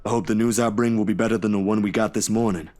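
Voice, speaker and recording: A man voice-acting a steady, easygoing friend in a visual novel, casual and coaxing as he reassures. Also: lowish voice, quick pace, natural voice. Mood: gentle, lighthearted.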